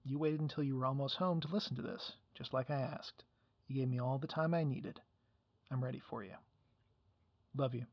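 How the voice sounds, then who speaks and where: A man's voice: mid-range voice, moderate pace, long pauses, a little hiss or hum, lightly acted. A man playing an affectionate, teasing husband leaving an intimate voice message in an audio roleplay. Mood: tender, playful. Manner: tender, teasing. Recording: muffled cellphone voice message, dry.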